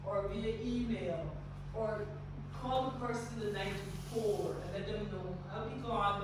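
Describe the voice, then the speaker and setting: An earnest woman from Guyana coaches hospital staff, encouraging and often restarting her phrases. Her voice sits at a middling pitch and sounds far from the microphone in a very reverberant room. Steady background noise runs underneath. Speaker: staff trainer. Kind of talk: workplace training talk with faith themes. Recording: very echoey, distant, noisy room recording.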